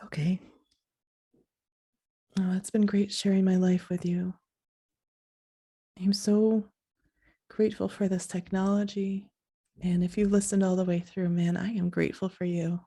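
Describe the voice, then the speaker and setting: A woman hosting a podcast in a soft, sleepy voice, talking in a slow, halting, reflective way. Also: medium pitch, little pitch movement. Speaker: podcast host.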